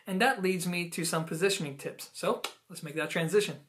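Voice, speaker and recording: A man's voice: sits high, fairly quick. A man hosting a product review, explaining things conversationally to viewers with emphatic, personal opinions. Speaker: audio gear reviewer and host. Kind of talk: video product review, explanatory talk to camera.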